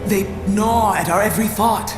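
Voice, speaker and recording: A woman's voice: mid-range voice, moderate pace, close to an everyday voice. A woman playing a troubled, devoted demon hunter follower in a fantasy game cutscene, solemn and intense. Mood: troubled, earnest. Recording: reverberant hall, loud cinematic game music.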